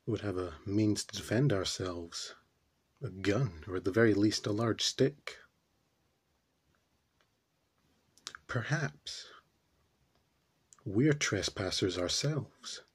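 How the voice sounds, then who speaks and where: A man playing a puzzled, brooding character in a theatrical stage monologue, pondering haltingly with deliberate pauses. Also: lowish voice, slightly rough, heavily acted. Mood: suspicious, brooding.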